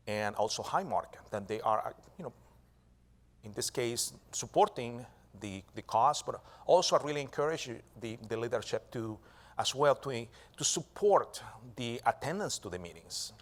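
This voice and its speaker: A man giving a live keynote talk, animated, with frequent restarts and self-corrections, often asking the audience "right?". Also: medium pitch. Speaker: keynote speaker.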